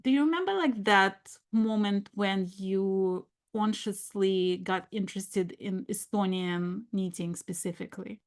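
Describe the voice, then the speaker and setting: A woman's voice: mid-range voice, measured pace, hardly any pauses. A woman hosting an interview podcast, asking questions in a casual, conversational way with many filler words. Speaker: podcast host.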